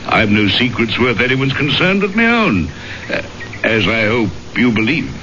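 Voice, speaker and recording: A man's voice: medium pitch, very animated, moderate pace, sharp tone. A man playing a big English baronet in a radio drama, suave and genial with menace beneath. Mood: smooth, suspicious. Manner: suave, genial, pointedly probing. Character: huge, vigorous English baronet, charming yet menacing. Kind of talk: 1970s radio drama acting. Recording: muffled old radio broadcast, faint noise.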